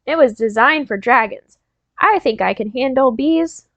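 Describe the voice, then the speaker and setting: Woman reading as a skeptical, high-voiced bee-fearing storybook kid. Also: expressive, unhurried, no echo. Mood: defiant.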